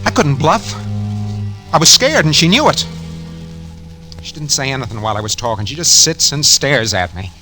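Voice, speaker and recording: A man's voice: a little high, animated, moderate pace, long pauses, lightly acted. An actor playing a guilty, nervous schemer who narrates his own crime in hardboiled slang, in 1940s radio drama style. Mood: anxious, resigned. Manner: hardboiled slangy first-person storytelling. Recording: vintage 1940s radio recording, music behind.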